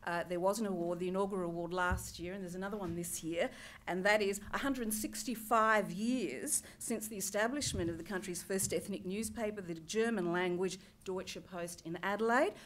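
A woman's voice: medium pitch, moderate pace. A woman in her fifties, a journalist from Greece, giving an earnest personal talk at a conference lectern with wry asides, through a headset mic in a large hall.

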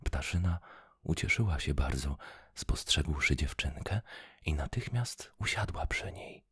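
A man reading a fairy tale aloud in a soft, slow whisper. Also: very deep voice, lively intonation, slightly rough, dry recording, studio quality. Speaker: storyteller.

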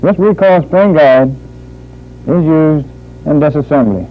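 A man working as a military instructor, narrating a training film in a formal, deliberate, measured manner. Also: a little high. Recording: muffled phone-like old training film soundtrack.

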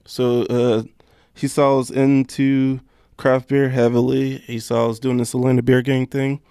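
A man's voice: medium pitch, level tone, a little slow, warm tone, clean tone, dry recording, clean studio sound. A man talking casually as an interview guest, informal, often repeating himself and leaning on "like". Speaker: guest chef and podcast co-host.

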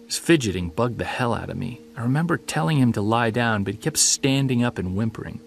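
A man's voice: medium pitch, expressive, a little fast, creaky, slight background noise. A man acting as a witness who recounts an unsettling childhood memory in a hesitant, conversational audio drama style. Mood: uneasy, reflective. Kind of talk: naturalistic audio drama storytelling.

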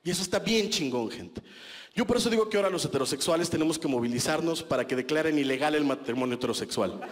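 A man's voice: fairly high pitch, fast talker, in a large hall, slight background noise. A Mexican man in his thirties doing a stand-up comedy set on a small club stage, speaking into a handheld mic in a conversational, self-mocking way with crude slang. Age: 30s.

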